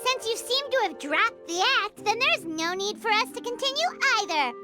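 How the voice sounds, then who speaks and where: A woman voicing a tiny, chatty, indignant fairy companion in a video game, with a squeaky childlike voice and an excitable manner. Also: moderate pace, over loud music. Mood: puzzled. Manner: excitable, refers to self in third person.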